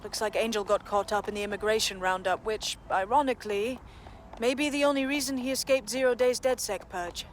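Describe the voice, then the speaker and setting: An actress playing a businesslike resistance handler giving a mission briefing in a video game. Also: female voice, on the high side, fairly even intonation, brisk, soft background music, lightly acted. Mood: businesslike, grim.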